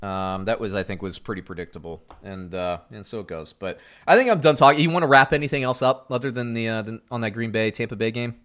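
A middle-aged man from Central Florida co-hosting a casual sports podcast, animated, going from loud outbursts to muttered asides. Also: fairly low pitch, quick pace, few pauses. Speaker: podcast co-host.

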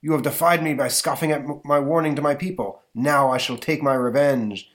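A man's voice: on the high side, fairly even intonation, fairly quick, fairly bright tone, pitched above the performer's own voice, lightly acted. A man doing a vengeful masked tyrant. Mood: threatening.

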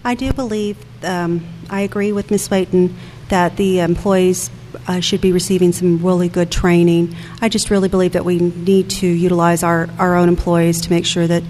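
A woman's voice: on the low side, level tone, moderate pace, darkish tone. An older woman speaking earnestly and plainly as a local candidate at a public forum. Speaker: city council candidate. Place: public forum room at a school. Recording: reverberant hall, noticeable background noise.

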